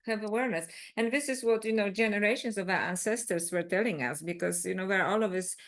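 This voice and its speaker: A woman from Poland speaks, mid-pitched and conversational. She talks as an interview guest, lively and searching, circling her point with restarts and little "you know"s. She sounds like she is joining over a remote call. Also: fast. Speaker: guest author.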